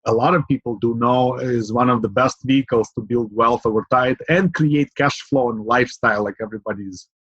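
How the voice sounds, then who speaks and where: A middle-aged man from Ukraine, a podcast guest, talking in an earnest, measured way with fillers, often asking "right?". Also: mid-range voice, fairly bright tone, breathy edge, dry recording, dull audio. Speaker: guest, real estate investor. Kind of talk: podcast interview.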